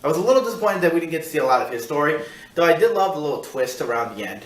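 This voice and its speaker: A man hosting a casual film review, talking in a rambling, halting way and repeating himself as he searches for words. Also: a little high, big pitch swings. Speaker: film review show host. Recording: echoey room, distant mic.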